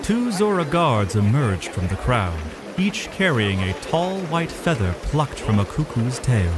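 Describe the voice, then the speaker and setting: A man's voice: medium pitch, big pitch swings, a little slow, music in the background. A man giving dramatic scripted narration of a fantasy adventure. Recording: noisy ambience behind the voice.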